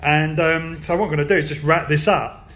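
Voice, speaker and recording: A man's voice: a little high, a little fast, fairly bright tone. A man narrating a casual hands-on tutorial, explaining informally step by step as he works. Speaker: hobbyist demonstrator.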